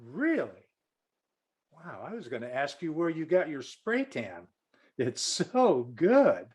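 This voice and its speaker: An actor plays a tactless, self-serving male boss in a screen comedy read. The delivery is very animated, leaping up and down in pitch. He blurts, stammers and backpedals. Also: slow, slightly rough, exaggerated voice. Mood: flustered, scheming. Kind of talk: comedic script acting. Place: remote video call reading. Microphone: video call.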